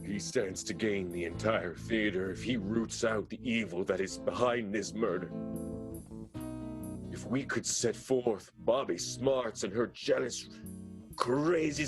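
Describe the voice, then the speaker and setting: A man's voice: medium pitch, close to an everyday voice. A man playing a grave, ruthless schemer in an improvised comic radio soap, speaking with melodramatic, portentous flair. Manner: dramatic, portentous. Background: plucked guitar music.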